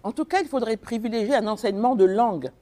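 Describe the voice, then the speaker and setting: A woman's voice: on the high side, fast talker, dark tone. A woman from the Republic of the Congo, speaking as an author and guest in a radio interview, earnest and emphatic, with frequent hesitations.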